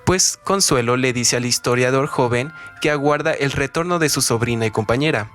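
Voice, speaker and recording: Man, scripted narration, formal and measured, literary radio presenter, music behind. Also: mid-range voice, fairly bright tone. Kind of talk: scripted narration, trivia about a novel. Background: background music.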